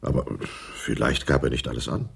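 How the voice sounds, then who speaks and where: Smooth, evasive accountant for radio crime play: low husky voice, deliberate hedging delivery. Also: male voice, a bit bright, heavily acted. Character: evasive, smooth male accountant explaining dodgy finances. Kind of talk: 1980s German radio crime drama dialogue.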